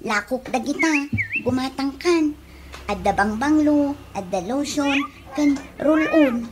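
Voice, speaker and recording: A woman's voice: sits high, animated, slow, background noise. A woman playing a pushy, blunt peddler in a comedy radio drama, speaking with an Ilocano accent in a coaxing, teasing way. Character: pushy, blunt female peddler of perfume and lotion.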